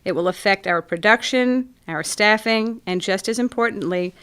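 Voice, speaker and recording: A woman acts out a gracious small-town café owner in a radio play. She speaks at a middling female pitch, in measured, descriptive phrases with a poetic turn. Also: fairly bright tone, clear, resonant tone, close to an everyday voice. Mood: reflective. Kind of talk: scripted community radio theatre acting.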